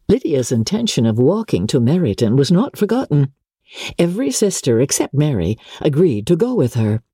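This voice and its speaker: A woman narrating a classic novel as a dramatic reader, in a measured, theatrical storytelling manner. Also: sits low, warm tone, husky, no echo, clean studio sound. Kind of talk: expressive scripted audiobook narration of a classic novel.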